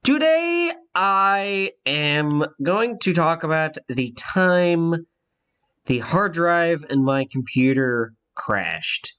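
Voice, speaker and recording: A man's voice: fairly high pitch, big pitch swings, very slow, a bit bright, clean tone. A congested young man telling a rambling personal story in a casual vlog, with many fillers and restarts. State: congested from a cold.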